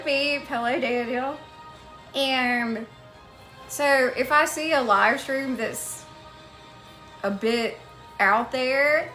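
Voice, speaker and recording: A woman's voice: on the high side, sharp tone. A woman live streaming, chatting casually and thanking viewers by name as she goes.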